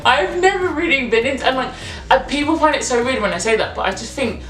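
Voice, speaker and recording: A woman's voice: high-pitched, lively intonation, fast talker, a bit bright. A young British woman in her twenties from England, chatting casually and animatedly with lots of fillers. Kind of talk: casual chat. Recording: roomy echo.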